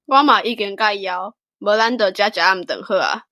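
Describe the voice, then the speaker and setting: A young country lad from a radio play, played by a woman, with a Taiwanese accent. The voice is bright and sharp, plain and rustic, recorded close and dry. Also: mid-range voice, moderate pace, no echo. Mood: hungry, eager. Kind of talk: radio drama acting.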